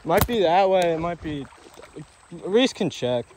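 A man's voice: high voice, animated, unhurried, a little mellow. A young American man chatting casually with friends while trekking through wet woodland. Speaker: vlogger on a fishing trip. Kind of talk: casual banter among friends while hiking. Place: outdoors in overgrown wet woodland. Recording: noisy outdoor action recording.

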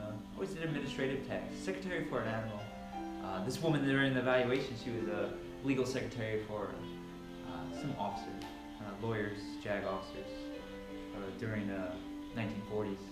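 A man speaking as a Bible reader at home, recorded in a large room by a camera some distance away. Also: fairly low pitch. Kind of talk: casual introduction, then dramatic scripture reading. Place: large, sparsely furnished living room at home. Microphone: camera a few metres away. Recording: echoey room, picked up from a distance.

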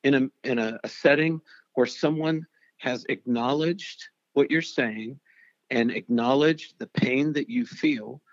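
A man's voice: mid-range voice, measured pace, no room sound, highs cut off. A man earnestly recounting a personal story, stumbling and repeating words as he searches for them. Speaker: storyteller guest. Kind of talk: personal testimony interview.

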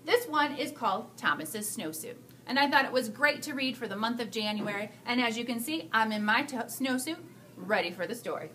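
A woman in her thirties reading a picture book aloud to children, with expressive, drawn-out emphasis. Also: a little high, slight background noise. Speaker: school staff storyteller reading to children. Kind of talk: animated children's read-aloud.

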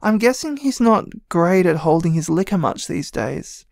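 A man voicing a sleepy, tipsy young man who leaves a gentle, drowsy voicemail thanking a friend, in an audio drama. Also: sits high, very animated, normal speed, creaky, dry recording, studio quality, full character voice.

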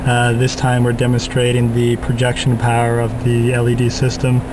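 A young man talks through a gadget demonstration in a flat, unvarying drone, padding his sentences with "uh" and "um". His voice comes through a camera mic in a parking garage, over a steady hum of air conditioning. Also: medium pitch, normal speed. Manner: flat, monotone delivery with many fillers. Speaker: product demonstrator. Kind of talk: casual product demo narration.

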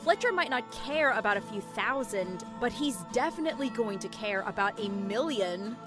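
An actress playing an anxious, cautious accomplice in an audio drama, worried and cautioning in manner. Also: female voice, on the high side, lively intonation, normal speed, over music, lightly acted.

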